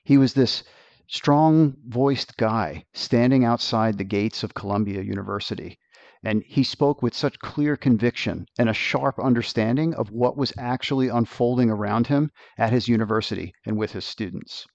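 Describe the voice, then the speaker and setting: A man hosting a podcast, reading a scripted introduction in a measured, polished way. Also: mid-range voice, creaky, darkish tone, dry recording, dull audio. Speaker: podcast host.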